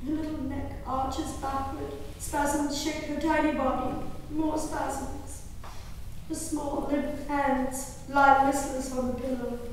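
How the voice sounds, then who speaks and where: A woman performing a dramatic monologue as a genteel, devoted, anxious colonial wife and mother, in a theatrical, measured manner. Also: sits high, flat delivery. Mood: grieving. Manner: theatrical, measured, with exclamations and pauses. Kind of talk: dramatic stage monologue reading of a diary. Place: reverberant performance hall. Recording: echoey hall from far off, background noise.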